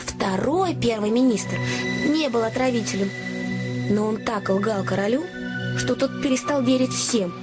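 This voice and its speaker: Actress as an anxious high-voiced young girl over music. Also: measured pace, close to an everyday voice. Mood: earnest storytelling. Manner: confiding, earnest, expressive, dramatic repetitions. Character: anxious, kind-hearted young innkeeper's daughter, naive and talkative. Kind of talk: Russian audio play storytelling.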